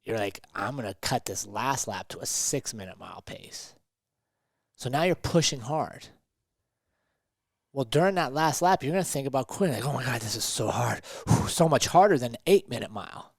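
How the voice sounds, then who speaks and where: A man's voice: mid-range voice, normal speed, creaky, no room sound, studio quality. A man co-hosting a motivational podcast, talking emphatically and often checking in with rhetorical questions. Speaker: podcast co-host.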